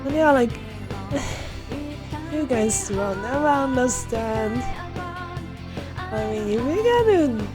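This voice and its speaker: A woman's voice: sits high, animated, slow, pauses often, poor audio. A woman giving casual, giggly, rambling commentary on a game livestream, trailing off as she chats. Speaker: livestreamer playing a visual-novel game. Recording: music behind the voice. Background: game music.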